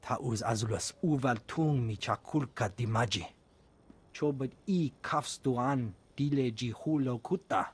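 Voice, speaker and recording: A husky alien trader in a video game. He speaks a made-up alien language at a middling pitch, with a rough edge to the voice. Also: measured pace. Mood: businesslike.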